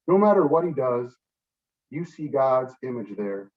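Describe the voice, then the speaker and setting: A man with a middle-pitched voice delivers a public address in earnest, oratorical fashion. He pauses deliberately and leans on key phrases. The recording is somewhat dull, with the microphone at a distance. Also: big pitch swings. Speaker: state senator giving a speech.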